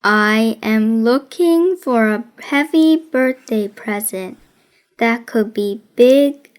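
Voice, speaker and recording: Woman voicing a slow, deadpan boy detective. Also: mid-range voice, smooth. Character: deadpan boy detective, serious and self-important.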